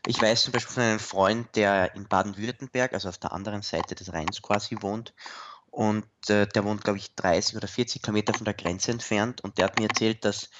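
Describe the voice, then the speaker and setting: A man's voice: on the low side, steady tone, a little fast, highs cut off, noisy background. A man interviewing a guest on the radio, asking long, searching questions full of fillers.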